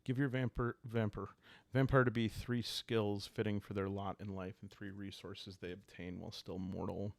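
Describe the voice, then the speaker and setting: A man calmly improvising a solo roleplaying story for sleepy listeners, thinking aloud in short, halting phrases. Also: lowish voice, creaky, dry recording. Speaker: host and solo player of a journaling roleplaying game.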